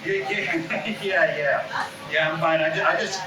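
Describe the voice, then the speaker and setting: A man's voice: on the high side, a little slow, a crowd in the background, close to an everyday voice. A man playing an anxious, evasive former child actor at a comedy table read, nervously stammering and repeating himself. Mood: flustered, evasive. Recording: echoey room, distant mic, noisy.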